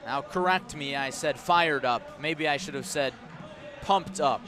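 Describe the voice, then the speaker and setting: A man's voice: fairly high pitch, unhurried. An American man calling live baseball on the radio as play-by-play announcer, narrating dramatically in short, clipped phrases. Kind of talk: live radio sports commentary.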